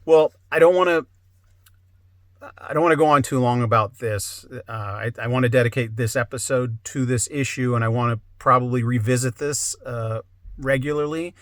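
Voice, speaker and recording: A man's voice: mid-range voice, normal speed, a bit bright, dry recording. Man hosting a podcast, very dry sound. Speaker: podcast host. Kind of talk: conversational interview.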